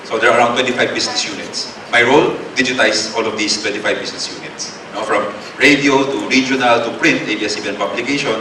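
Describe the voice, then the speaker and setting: A man's voice: medium pitch, quick pace, hardly any pauses. Filipino man lecturing in an echoey hall. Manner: code-switching, tag questions, filler-heavy. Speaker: guest speaker. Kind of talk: seminar talk. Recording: echoey hall, distant mic, noisy.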